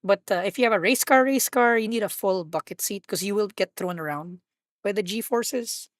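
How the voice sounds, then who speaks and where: A woman with a husky voice, hosting a live stream and rambling playfully while she plays. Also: mid-range voice, moderate pace, no room sound, clean studio sound. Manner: rambling, self-correcting, playful. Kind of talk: casual live-stream chat while gaming.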